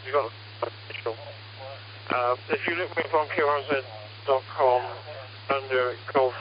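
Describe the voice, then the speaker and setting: An older British man talks casually as an amateur radio operator. His voice comes through a dull, band-limited radio transmission with faint noise and hum behind it. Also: medium pitch. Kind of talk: casual radio contact chat. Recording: muffled, noisy, humming ham radio transmission. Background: radio static and hum.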